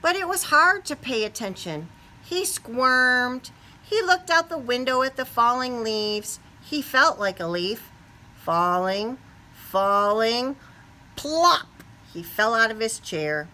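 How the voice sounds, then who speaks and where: A woman reading a picture book aloud to young children expressively, pausing to put questions to them. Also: fairly high pitch. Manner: animated, asks the young listeners questions.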